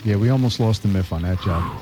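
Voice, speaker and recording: A man's voice: fairly low pitch, fast. A middle-aged American man chatting casually as a freeform radio DJ, telling stories in a wry, rambling, repetitive way. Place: radio studio. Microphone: studio broadcast microphone. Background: music playing.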